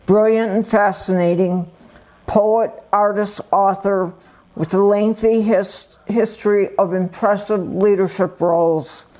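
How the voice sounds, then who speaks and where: A woman reads a poem she wrote, with very little rise and fall in her voice. Her delivery is plain and deliberate, as a member of a poetry group presenting prepared work. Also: medium pitch, darkish tone, low-quality recording.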